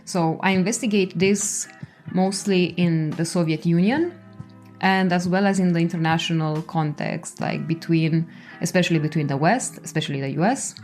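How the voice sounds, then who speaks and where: A woman's voice: low voice, fairly even intonation, normal speed, darkish tone, soft background music. A young woman with a Romanian accent, a native Romanian speaker, explaining her research as an interview guest.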